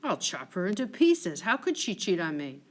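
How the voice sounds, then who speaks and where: A woman's voice: lowish voice, big pitch swings, moderate pace. A woman voicing a jealous, raging male general in a play read aloud, accusing and bitterly sarcastic. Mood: vengeful anger. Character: jealous, raging military general, a married man.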